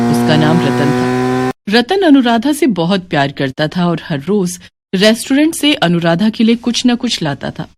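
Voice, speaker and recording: A woman's voice: lowish voice, noisy background. A woman reading a scripted moral story as its narrator.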